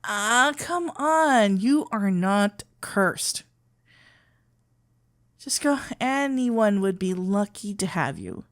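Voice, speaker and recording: A woman playing a shy, tender florist best friend in love, talking haltingly and awkwardly in an intimate ASMR roleplay. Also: mid-range voice, sing-song. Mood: tender, admiring. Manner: halting, stammering, awkwardly earnest. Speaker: audio roleplay performer.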